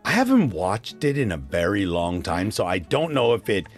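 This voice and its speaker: Animated Canadian man hosting kids' radio over music. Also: lowish voice, moderate pace. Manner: casual, encouraging, talks to child listeners. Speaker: radio show host. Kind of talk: children's radio show chat and quiz. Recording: music playing behind the voice.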